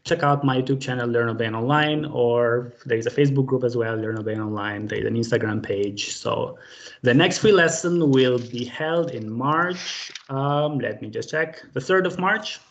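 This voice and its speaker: A man's voice: medium pitch, normal speed. A man leading a live online group language lesson over a video call, patient and friendly, with many fillers. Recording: dull lo-fi call audio.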